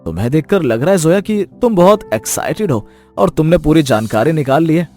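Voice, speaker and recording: A gentle young husband, voiced by a man, reasons calmly in a fairly high, lively voice. Soft music plays underneath. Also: brisk, close to an everyday voice. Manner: calm, persuasive. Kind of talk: animated moral story dubbing. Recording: music bed behind voice.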